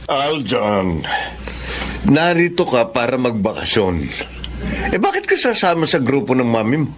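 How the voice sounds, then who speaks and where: Proud, animated Filipino father. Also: medium pitch, measured pace. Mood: indignant. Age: middle-aged. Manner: emphatic, argumentative. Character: proud, money-minded middle-aged doctor father, stubborn. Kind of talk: Filipino radio drama acting.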